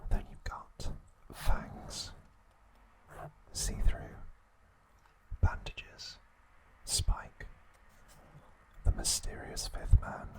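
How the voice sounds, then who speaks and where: Whispering man, slow ASMR delivery. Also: rough recording. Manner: unhurried, soft, pausing between short phrases. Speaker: ASMR host. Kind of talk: whispered ASMR trivia narration.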